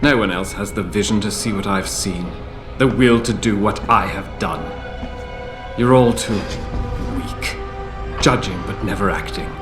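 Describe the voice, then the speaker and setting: An actor playing an aging male tech visionary in a video game, grave and measured, breaking into anguished shouts. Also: fairly low pitch, close to an everyday voice. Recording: roomy game audio with music.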